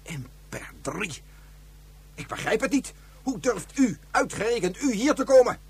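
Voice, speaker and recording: A man playing an astonished, agitated space traveller in a science fiction radio play, speaking formally and haltingly. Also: high-pitched, big pitch swings, husky, no room sound, full character voice. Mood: shocked, indignant.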